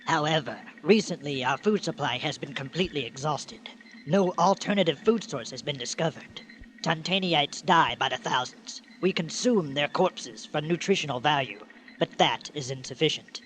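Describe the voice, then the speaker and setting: An actor playing a formal alien leader in a radio drama, speaking in stilted, precise sentences. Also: male voice, fairly high pitch, moderate pace, a bit bright, a little hiss or hum, natural voice, low-quality recording. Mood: cold, formal. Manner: stilted, precise, clipped commands. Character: formal, solemn male alien leader from dying planet.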